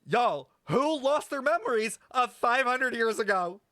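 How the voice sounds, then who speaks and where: A man streaming casual game commentary, talking to chat in an animated, slangy, sweary way. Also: very high-pitched, unhurried, no echo, clean studio sound. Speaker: streamer reacting to a video game. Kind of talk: casual livestream commentary and lore speculation.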